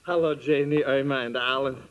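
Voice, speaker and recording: An actor playing a doleful, self-mocking eccentric professor in an old-time radio comedy, with courtly deadpan delivery. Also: male voice, medium pitch, normal speed, lightly acted. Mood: droll. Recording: dull old radio recording.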